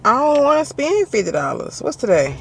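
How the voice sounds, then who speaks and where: A man with a Southern Alabama accent vlogging casually from his car, animated and laughing often as he talks to a handheld camera. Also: very high voice, brisk, creaky. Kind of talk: casual driving vlog. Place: in a car, driving. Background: faint car and road noise.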